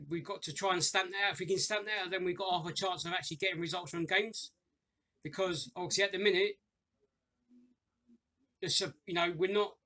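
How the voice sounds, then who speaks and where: A man in his thirties gives a football match review into a webcam in a small room at home. His voice sits high and bright, and he rambles with many fillers and restarts, often calling his viewers ladies and gents. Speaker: fan channel host. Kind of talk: after-match review monologue.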